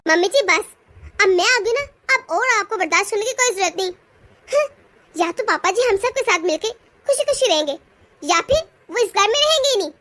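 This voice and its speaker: Woman playing a fiery daughter-in-law, scolding, defiant, very high voice. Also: expressive, brisk, pushed and bright, heavily acted. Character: bold young daughter-in-law, feisty and protective.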